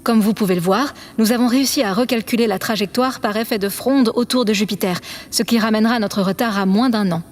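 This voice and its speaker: A woman's voice: fairly high pitch, brisk, few pauses, natural voice. A woman playing an earnest, polite chief engineer aboard a spaceship in an audio drama, careful and matter-of-fact. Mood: polite, businesslike. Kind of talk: scripted audio drama acting. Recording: clear background noise.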